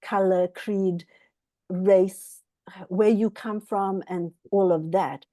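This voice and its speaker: A woman from Zimbabwe speaks slowly as a guest author, sharing personal reflections in an earnest, halting way. Her voice is mellow and fairly low, and the sound is dry and close. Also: fairly even intonation, no echo. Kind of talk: reflective personal account at a book club talk.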